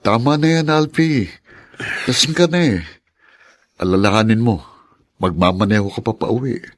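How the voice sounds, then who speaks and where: A man's voice: lowish voice, moderate pace, no echo, lightly acted. A man acting in a radio drama as a concerned, level-headed friend who admonishes with emphasis.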